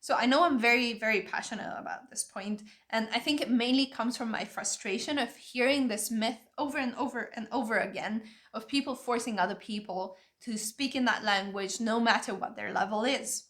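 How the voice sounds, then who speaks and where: A woman's voice: a little high, moderate pace, hardly any pauses, fairly bright tone. A young woman whose first languages are Vietnamese and Hungarian, talking to camera in an earnest, opinionated way as she explains step by step. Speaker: language-learning video creator. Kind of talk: talking-head advice video.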